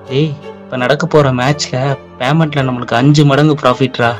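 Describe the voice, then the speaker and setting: A man's voice: mid-range voice, steady tone, fairly quick, faint music behind, lightly acted. A man playing a persuasive young betting tout, talking in insistent, chummy sales patter in a short film. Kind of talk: naturalistic short film acting in colloquial Tamil.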